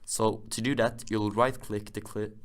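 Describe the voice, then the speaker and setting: A man narrating an unscripted software tutorial, thinking aloud in a rambling way as he demonstrates. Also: on the low side, level tone, unhurried. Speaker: music production tutor, host.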